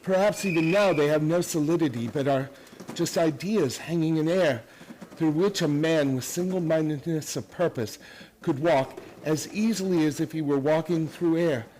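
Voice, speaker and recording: A man's voice: a little high, moderate pace, darkish tone, a little hiss or hum, lightly acted, low-quality recording. A man acting in a radio play as an obsessive, grandiose believer in his own mental powers, lecturing intensely and emphatically. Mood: dreamy, musing.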